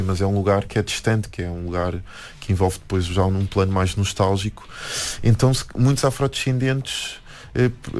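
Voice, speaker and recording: A man with a European Portuguese accent, a musician and anthropologist talking reflectively in an interview, pausing and restarting often. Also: fairly low pitch. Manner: hesitant, many restarts and fillers.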